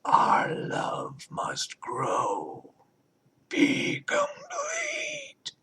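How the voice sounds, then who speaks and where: A man voicing a sinister, coaxing alien hive mind in a horror story, with a breathy whisper and slow, eerie, drawn-out words. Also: extremely high pitch, wildly swooping pitch, sharp tone, voice pushed up, heavily acted.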